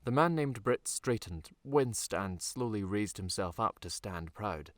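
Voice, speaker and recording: A man narrates a fantasy novel in a fairly low voice. His delivery is scripted and measured, with dramatic phrasing and deliberate pauses. The recording is dry, with hardly any room around the voice. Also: expressive, dry recording. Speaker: author reading his own novel.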